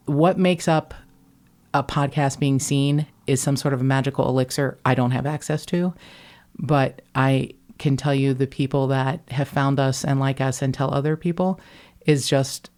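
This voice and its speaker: A woman from Kentucky with a deep voice, hosting a podcast in casual chat, wry and quick to swear or laugh. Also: darkish tone. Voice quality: deep voice, often taken for a man on the phone. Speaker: podcast host.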